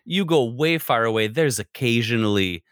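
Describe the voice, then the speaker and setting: A man's voice: mid-range voice, expressive, moderate pace, no echo, studio quality. An American man in casual conversation, talking in a rambling way and often breaking off mid-sentence. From United States. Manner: rambling, self-interrupting. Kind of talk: casual chat.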